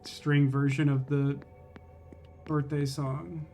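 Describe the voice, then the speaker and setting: A man with a slightly high-pitched voice commentates his game live over faint music. He talks to viewers casually and thinks out loud in a wry, rambling way. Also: flat delivery, takes it slowly. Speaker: video game livestreamer.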